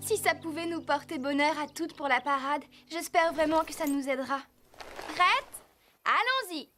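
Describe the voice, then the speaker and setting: An earnest teenage girl who keeps everyone in line in a cartoon. Her voice is very high and bright, with light music underneath. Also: moderate pace. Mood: commanding. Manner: bossy, organizing, insistent. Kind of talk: French cartoon dub.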